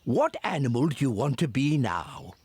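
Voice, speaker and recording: A woman's voice: a bass voice, sing-song, moderate pace, dark tone, a little hiss or hum. A woman voicing a kindly older grandparent pig in a cartoon, playful and fond, laughing often. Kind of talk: cartoon voice acting.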